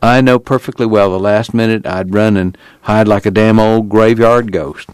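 A man's voice: on the low side, fairly even intonation, normal speed, clear, resonant tone, no room sound, close to an everyday voice, rough recording. Man doing a lonely, resigned farmer. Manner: quiet, resigned, folksy rural speech. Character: lonely, resigned middle-aged male farmer.

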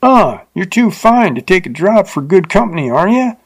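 A man voicing an uncouth, leering frontier rider with a rough drawl and bad grammar. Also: on the high side, expressive, a little slow, a little mellow, pitched above the performer's own voice. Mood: jeering, drunk.

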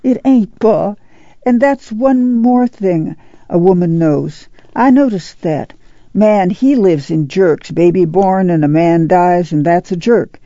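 A woman playing a steady, soothing rural mother in a dramatized reading, with plain-spoken country speech. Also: on the low side, no echo. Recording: dull, muffled audio.

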